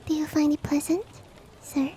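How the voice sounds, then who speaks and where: Woman acting a high, soft-spoken monster-girl maid. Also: fairly even intonation, moderate pace, lightly acted. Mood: polite, eager. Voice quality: soft, breathy, often whispered. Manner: formal, courteous, gently earnest. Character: polite, devoted shapeshifting monster-girl maid seeking a master. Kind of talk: ASMR roleplay. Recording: faint ambient rain noise behind. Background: soft rain ambience.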